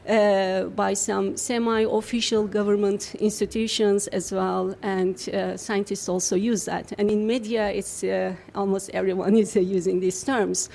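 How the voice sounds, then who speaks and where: A woman's voice: mid-range voice, darkish tone. Woman researcher explaining at length. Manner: explanatory, measured, with fillers. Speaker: university researcher, guest. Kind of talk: radio interview answers.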